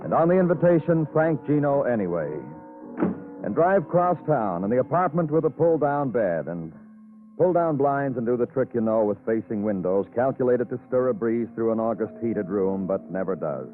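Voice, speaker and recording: An actor playing a weary, brooding police detective in an old radio crime drama, terse in talk and moodily poetic. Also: male voice, mid-range voice, animated, normal speed, narrow, tinny audio, faint music behind, natural voice. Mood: brooding, wistful. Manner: terse replies, moody poetic narration. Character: weary, laconic, brooding male police detective narrating his case. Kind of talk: 1940s-50s radio noir acting. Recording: thin old radio broadcast recording.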